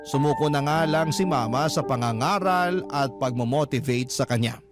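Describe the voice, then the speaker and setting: A man's voice: medium pitch, normal speed. A man narrating a listener's first-person letter as a radio storyteller, in a dramatic storytelling style. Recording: loud music bed under voice.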